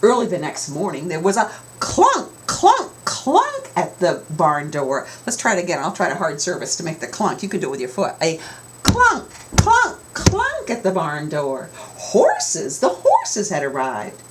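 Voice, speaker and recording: A middle-aged woman reading a picture book aloud to children theatrically, with drawn-out emphasis, in a small home room. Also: on the low side, wildly swooping pitch. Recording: clear background room noise.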